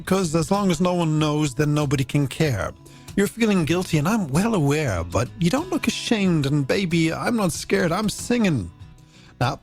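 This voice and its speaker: An Irish man presents a late-night radio show over a music bed. His voice swoops up and down with a gravelly creak at the edges. The delivery is scripted, playful and tongue-in-cheek, full of florid turns of phrase. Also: fairly high pitch, darkish tone. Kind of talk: scripted radio presenting with games and listener messages.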